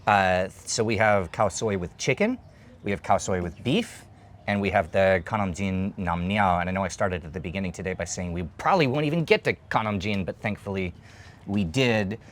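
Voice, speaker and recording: A man's voice: low voice, lively intonation, moderate pace, a little hiss or hum. A man in his thirties hosting a food show, chatting and explaining dishes while eating at an open-air stall, on a lapel mic. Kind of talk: casual food chat and explainer.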